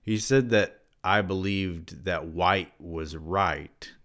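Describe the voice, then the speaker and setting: A man's voice: deep voice, slow, a bit bright, full character voice. A man reading aloud and voicing a nervous young male student who pleads and stammers.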